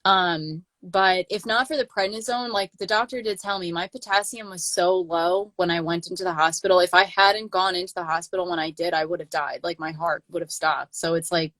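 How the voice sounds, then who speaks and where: A young woman in her late twenties with a lowish voice, telling a long personal story in casual livestream chat. She rambles with lots of fillers and restarts. The sound is dry and close, with no room echo. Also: fairly even intonation, brisk, few pauses, fairly bright tone. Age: 20s. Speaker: channel host.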